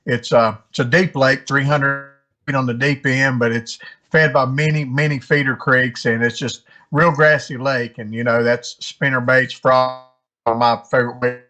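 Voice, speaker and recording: A man from West Virginia talking casually as an interview guest, halting and often repeating his words. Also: medium pitch, level tone, sharp tone, faint music behind. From West Virginia, United States. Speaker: interview guest, amateur tournament angler.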